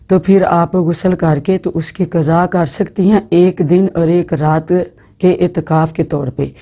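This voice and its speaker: A woman giving informal Islamic religious instruction to women, speaking in an instructive way with halting phrasing and repeated words. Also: fairly low pitch, warm tone. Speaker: religious teacher.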